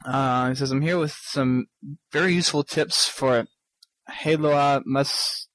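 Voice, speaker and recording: A man co-hosting a podcast, reading listener emails aloud haltingly, with frequent ums and restarts. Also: medium pitch, fairly even intonation, fairly bright tone, dry recording, poor audio. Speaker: podcast co-host.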